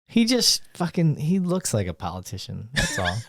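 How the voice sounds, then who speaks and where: A man's voice: on the high side, huge pitch swings, moderate pace, gravelly, no room sound. A man who is a police officer hosting a podcast, talking in laid-back, profane banter with his guest. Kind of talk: casual podcast conversation.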